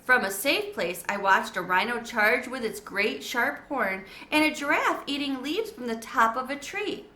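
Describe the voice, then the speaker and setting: A woman's voice: on the high side, animated, measured pace, few pauses, sharp tone. A woman voicing a thoughtful young schoolboy who talks plainly and earnestly. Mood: reading. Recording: echoey room.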